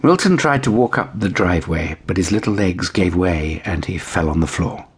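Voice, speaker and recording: Male narrator for children's bedtime tales: lowish register, husky, expressive delivery. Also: normal speed. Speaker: audiobook narrator.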